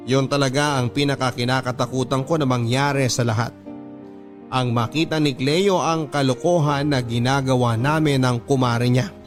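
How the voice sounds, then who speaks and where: A regretful husband played by a man tells his confession as scripted radio drama narration. His voice sits at an average pitch, with music running softly behind it. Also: lightly acted.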